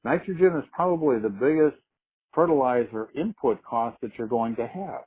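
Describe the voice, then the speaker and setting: A man's voice comes over a narrow, phone-like conference line. He speaks at an average pitch in an explanatory business style, measured and deliberate, often setting out points as questions. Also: lively intonation, husky. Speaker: agricultural marketing manager presenting on a conference call. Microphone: telephone conference call.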